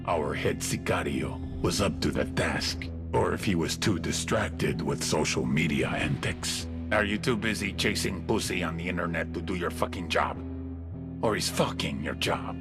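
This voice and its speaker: A cold cartel boss in a game. He is deep and creaky, measured and full of contempt, with music behind him. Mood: contemptuous. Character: menacing, cold male drug cartel boss.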